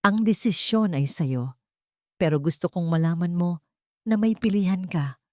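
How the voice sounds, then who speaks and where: A woman voicing a gentle, supportive man in an audio love story, measured and reassuring. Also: lowish voice, close to an everyday voice. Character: gentle, supportive man, steadfast romantic partner.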